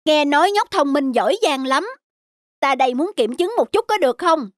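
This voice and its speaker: A woman's voice: high voice, animated, normal speed, no room sound, studio quality. A woman voicing an arrogant young male village scholar in a cartoon, smug and taunting, over background music. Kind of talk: cartoon dubbing.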